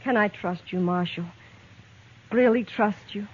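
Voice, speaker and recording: An earnest, defiant young American frontier woman played by an actress speaks with quiet determination at a middling pitch. It is 1950s radio drama acting, heard through a thin, tinny, narrow-band old recording. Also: unhurried, close to an everyday voice. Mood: guarded, earnest.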